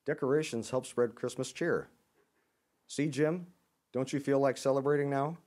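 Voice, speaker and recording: Man putting on an eager higher-pitched little bird. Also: steady tone, normal speed, lightly acted. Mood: cheerful, coaxing. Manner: bright, eager, exclaiming. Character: cheery chirping female oxpecker bird, festive enthusiast.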